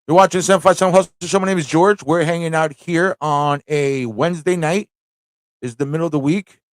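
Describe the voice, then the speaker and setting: A man's voice: fairly high pitch, brisk, no echo. Casual male live streamer, dry close sound. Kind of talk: casual live stream chat, reading aloud and reacting.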